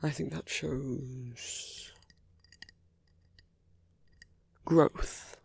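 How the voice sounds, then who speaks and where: Man acting a slow, gravelly, teasing villain. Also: mid-range voice, fairly even intonation, dry recording, full character voice. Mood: gentle, careful. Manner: unhurried, hushed, pausing between phrases. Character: smooth teasing male villain, tender toward his enemy. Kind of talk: intimate ASMR roleplay acting.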